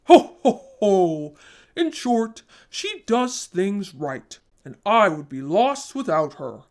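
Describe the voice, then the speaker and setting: A woman voicing a jolly old Santa Claus in a storybook, with a booming, hearty delivery. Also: very animated, slow, darkish tone. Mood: jolly praise.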